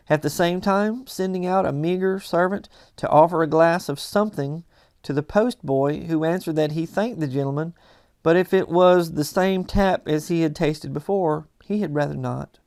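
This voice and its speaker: A man reading a classic story aloud as expressive scripted narration. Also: fairly high pitch, no room sound, clean studio sound. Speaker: audiobook reader.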